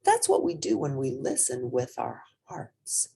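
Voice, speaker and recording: A woman voicing an eager, kind-hearted young schoolgirl in an earnest, expressive storybook reading. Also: very deep voice, unhurried, creaky, slightly rough, exaggerated voice. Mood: tender, earnest.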